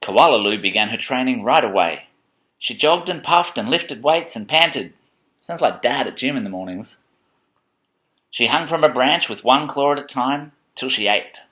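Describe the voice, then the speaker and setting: A man reading a children's picture book aloud in an expressive, playful way, with chatty asides to a child. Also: medium pitch, moderate pace. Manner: expressive, playful, dramatic storybook emphasis. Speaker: parent reading a bedtime story to a child.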